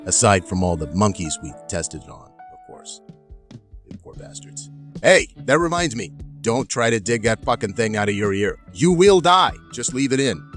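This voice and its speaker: A man's voice: on the low side, natural voice. A man playing a brash, foul-mouthed tech company boss giving an orientation video in an audio drama, with breezy, slangy bro talk. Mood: breezy, flippant.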